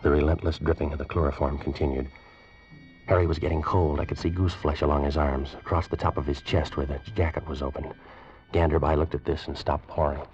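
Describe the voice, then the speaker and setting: Deep-voiced man narrating suspense. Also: little pitch movement, a little mellow, a little hiss or hum. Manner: tense, slow, suspenseful telling. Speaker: narrator and character in the story. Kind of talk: scripted narration, 1940s radio drama. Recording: dull old radio recording with music.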